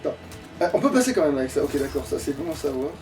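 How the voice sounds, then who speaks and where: A man's voice: a little high, very animated, rapid-fire, darkish tone. A man giving casual gaming commentary, grumbling and swearing to himself and at the game as he plays. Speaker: let's play streamer playing the game. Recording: distant mic with game music behind.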